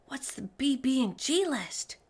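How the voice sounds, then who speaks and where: A woman's voice: high voice, sing-song, measured pace, husky, voice pushed up, full character voice. A woman voicing a stubborn, whiny young girl in a children's storybook, dramatic in manner. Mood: nervous. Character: stubborn, whiny young girl Sophie, bratty but soft-hearted.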